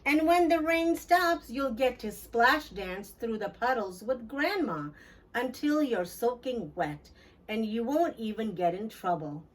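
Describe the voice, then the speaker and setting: A woman reading a children's storybook aloud in an expressive, deliberate storytelling manner for young listeners. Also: on the high side, distant mic, poor audio. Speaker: children's book read-aloud host. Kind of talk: scripted storybook narration for children.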